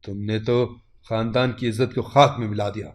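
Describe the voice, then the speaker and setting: A man voicing a stern, disappointed older uncle in an audio story, grave, reproachful and measured. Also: on the low side, steady tone, lightly acted. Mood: bitter disappointment.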